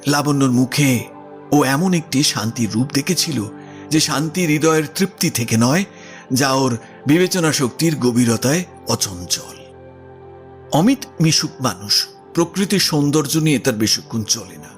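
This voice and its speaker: A man's voice: medium pitch, lively intonation, unhurried. A man reading literary prose as the narrator of an audio story. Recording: music under the voice. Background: background music.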